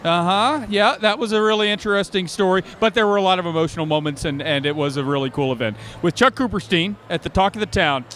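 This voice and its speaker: A man's voice: high-pitched, quick pace, hardly any pauses, a bit bright, a little hiss or hum. A man hosting an on-location interview, introducing his guest, summing up and asking questions in a conversational way. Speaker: interviewer, host.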